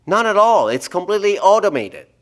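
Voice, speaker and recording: A man's voice: high voice, big pitch swings, brisk, a bit bright. A man playing an anxious, self-justifying scientist with precise, formal diction in an audio drama. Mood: smug, defensive. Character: blinded, guilt-free scientist doctor, anxious and urgent.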